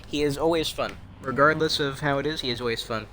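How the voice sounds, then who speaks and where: A man's voice: medium pitch, fast, sharp tone, a little hiss or hum. A young man chatting casually over his own gameplay, rambling and wry, poking fun at himself and at other players. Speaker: game streamer.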